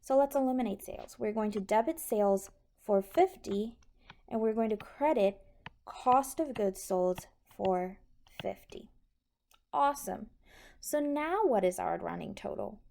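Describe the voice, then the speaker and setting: Woman, slow pace, soft mellow tone, patient tutorial explanation, noisy recording with clicks. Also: medium pitch, expressive, vocal fry. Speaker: accounting instructor.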